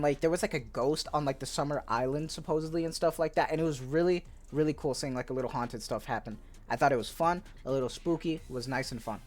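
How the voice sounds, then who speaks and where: A man giving casual, rambling commentary on viewer suggestions for a video game, upbeat and eager, full of filler words. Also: fairly high pitch. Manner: laid-back, rambling, peppered with "like" and "dude". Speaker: gaming YouTuber. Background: faint background music.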